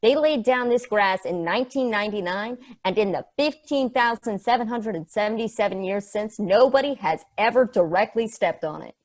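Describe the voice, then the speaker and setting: A woman's voice: mid-range voice, lively intonation, normal speed, hardly any pauses, close to an everyday voice. A woman playing a sardonic, passionate space probe in a table read, casual, rambling and sweary. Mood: fascinated, earnest. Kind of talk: conversational acting in a script table read.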